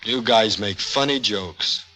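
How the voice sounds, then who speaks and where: An American actor playing a moody, insecure young college basketball player in a mid-century radio drama, earnest and defensive. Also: male voice, fairly low pitch, takes it slowly, bright, forceful tone. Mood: fed up.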